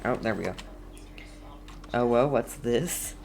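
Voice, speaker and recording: Casual streamer, mid-range voice, unhurried, halting, slangy muttering over gameplay. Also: mid-range voice, gravelly, a little mellow, faint music behind. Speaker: game streamer.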